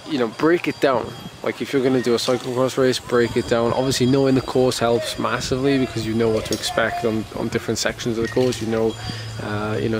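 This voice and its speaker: A man's voice: mid-range voice, a little hiss or hum. Congested man rambling in a vlog. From United Kingdom. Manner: rambling, many fillers and restarts. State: ill, slightly congested. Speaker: professional cyclist vlogger. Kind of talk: casual vlog talk to camera.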